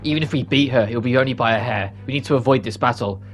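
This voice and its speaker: An earnest fallen knight from a fantasy game, read aloud by a man. He speaks seriously and guardedly in a middle-pitched male voice. Faint music runs underneath. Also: quick pace, close to an everyday voice. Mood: wary. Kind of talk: reading game cutscene dialogue.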